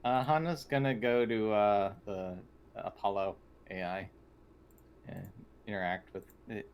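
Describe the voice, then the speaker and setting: A man's voice: fairly low pitch, fairly even intonation, a little slow, clear, resonant tone. A man playing a tabletop game over an online voice call, casually describing what his character does. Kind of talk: casual in-game narration and chat. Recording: faintly noisy online voice call.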